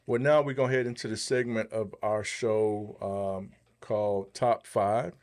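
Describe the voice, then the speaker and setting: Low-voiced man hosting a podcast. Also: steady tone, measured pace, a little mellow. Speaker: podcast co-host. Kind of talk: casual podcast chat and questions.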